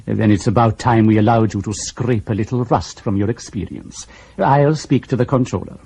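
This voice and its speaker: A man's voice: on the low side, normal speed, vocal fry, dark tone, breathy edge. A male actor playing a stern, sardonic intelligence section head in a radio drama, dry and formal. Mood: dry, brisk. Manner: dry, sarcastic, formal, pointed. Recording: noticeable background noise and ambience.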